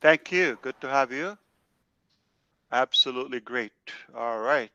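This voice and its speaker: A man's voice: medium pitch, unhurried, sharp tone, clean tone, no room sound, lo-fi sound. A man hosting an online team meeting in an effusive, welcoming manner on a video call. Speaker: host.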